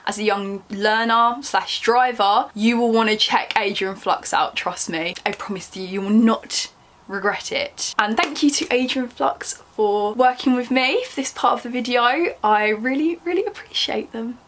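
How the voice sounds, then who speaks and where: She is a young British woman with a fairly high voice. It has a slight croak from a cold she is getting over. She talks in a casual, chatty way, like a vlogger sitting down to address the viewers. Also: moderate pace, a bit bright. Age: young adult. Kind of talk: casual chatty sit-down talk to camera.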